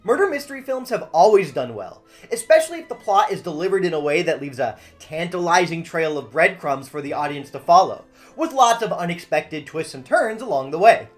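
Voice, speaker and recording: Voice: mid-range voice, sing-song, a little fast, few pauses, soft background music. A video essay host delivering scripted narration in a wry way with jokey asides.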